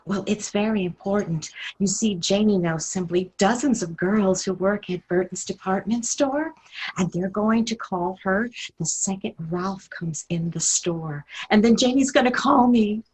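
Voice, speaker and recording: A woman's voice: medium pitch, unhurried, a little mellow, slightly rough, low-quality recording. A woman playing a self-assured, scheming teenage girl in a radio sitcom, breezy and self-important. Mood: breezy urgency. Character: self-assured, scheming teenage daughter, dramatic and matter-of-fact. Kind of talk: live radio sitcom acting.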